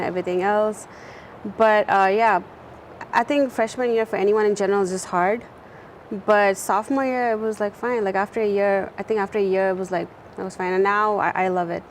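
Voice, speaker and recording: A young woman from India speaks as a guest in a casual interview. Her talk is loose and chatty, with frequent "like" fillers and quick restarts. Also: medium pitch, a little hiss or hum, rough recording. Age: young adult. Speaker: guest, student.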